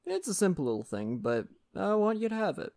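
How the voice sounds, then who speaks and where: A man playing a friendly, folksy lumberjack in a soft-spoken ASMR roleplay, talking gently and reassuringly to the listener. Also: high-pitched, very animated, a little fast, creaky, full character voice. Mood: warm, modest. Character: friendly Canadian lumberjack, gentle nature-loving father.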